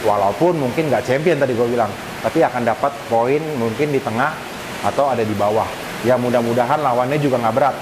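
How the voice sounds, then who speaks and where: A man's voice: medium pitch, brisk. A man, explaining things informally to viewers as a fish-keeping vlogger. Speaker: arowana fish keeper and vlogger. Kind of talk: casual explanatory vlog talk. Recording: clear hissy background noise. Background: steady hiss.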